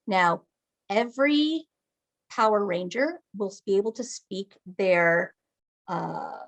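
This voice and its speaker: A woman's voice: mid-range voice, expressive, slow pace. A woman acting as game master, patiently walking a player through character creation and often checking that they follow. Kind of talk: explaining game rules.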